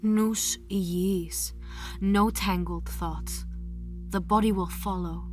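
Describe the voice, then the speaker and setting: An actress playing a solemn, fateful chorus figure, reciting poetic lines in a declamatory theatrical manner. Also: female voice, medium pitch, fairly even intonation, slow, close to an everyday voice. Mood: measured, fateful. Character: female Greek tragic chorus voice, solemn, fateful. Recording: music under the voice.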